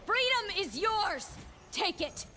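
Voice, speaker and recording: A woman's voice: very high-pitched, very animated, slow, bright, forceful tone, soft background music, full character voice. A woman playing a determined, stern young warrior leader in a video game, speaking in solemn, commanding, clipped declarations. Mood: rallying, fierce. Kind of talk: video game character voice lines.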